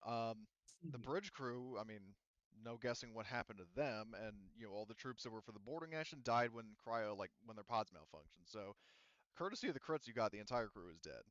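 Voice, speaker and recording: A man acts as game master for a tabletop roleplaying game. He explains things casually, often circling back to rephrase. His voice is clean and smooth, captured dry with a slightly muffled top end. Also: medium pitch, expressive, a bit bright, dry recording. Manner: explanatory, halting, repeats and rephrases phrases. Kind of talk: casual, improvised game narration.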